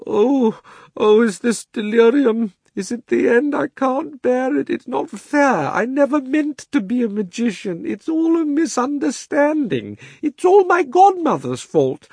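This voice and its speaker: A man voicing a cowardly, self-pitying old gentleman magician in a storybook, wailing in a trembling, drawn-out, fussy way. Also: extremely high pitch, very animated, breathy edge, no room sound, studio quality, voice pushed up, heavily acted.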